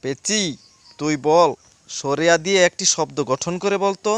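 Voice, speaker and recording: A man's voice: a little high, moderate pace, clear, resonant tone, no room sound, natural voice. A man voicing a stern, easily flustered schoolteacher who scolds theatrically in a comedy cartoon. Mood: quizzing, approving.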